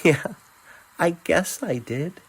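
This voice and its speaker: A man voicing a young lovestruck, anxious girl in a dramatic storybook reading, halting and earnest. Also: on the high side, lively intonation, breathy edge, pitched above the performer's own voice, heavily acted. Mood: shy, pleased.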